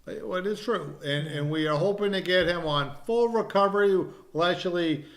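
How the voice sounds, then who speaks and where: A man's voice: sits high, moderate pace. A man hosting a wrestling podcast, selling live shows over television in salesy, teasing banter. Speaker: wrestling podcast host and promoter. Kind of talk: casual promotional chat.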